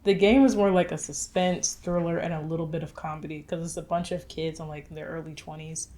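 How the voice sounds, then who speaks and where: A woman chatting casually and with strong opinions as co-host of a film review show, in animated, slangy speech with some swearing. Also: low voice, fairly quick, slight background noise.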